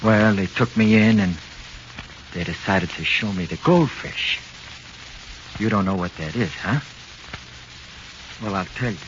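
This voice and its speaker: A man's voice: fairly low pitch, measured pace, pauses often, natural voice. An actor playing a cocky, defiant small-time American crook in a 1940s radio drama, talking in slangy tough-guy style. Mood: confiding, cocky. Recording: dull, slightly noisy old radio recording.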